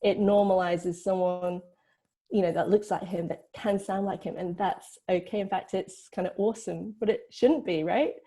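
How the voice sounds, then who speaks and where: Creaky, mellow-voiced woman on a panel. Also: on the low side, steady tone, moderate pace. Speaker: panel guest, filmmaker. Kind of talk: casual, reflective discussion.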